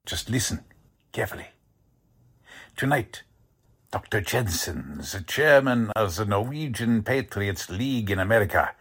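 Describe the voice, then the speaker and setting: Very husky low voice, man acting menacing Nazi agent, conspiratorial, commanding, animated intonation, radio drama. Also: full character voice. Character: menacing Nazi agent plotting sabotage by telephone.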